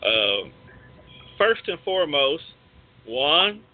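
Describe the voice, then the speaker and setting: A man's voice: on the high side, big pitch swings, very slow. A man hosting a casual radio show, explaining things in slangy, emphatic phrasing. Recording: tinny phone-like line, faint noise.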